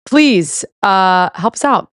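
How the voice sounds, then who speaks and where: A woman's voice: mid-range voice, expressive, slow, clean tone, dry recording, clean studio sound. Chatty young woman podcast co-host. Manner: rambling, heavy use of "like". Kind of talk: casual chat.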